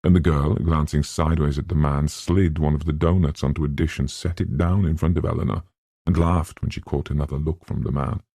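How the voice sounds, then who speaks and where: A man's voice: rumbling low, fairly even intonation, brisk, creaky, warm tone, husky, dry recording, clean studio sound. A man reading a novel aloud as scripted audiobook narration.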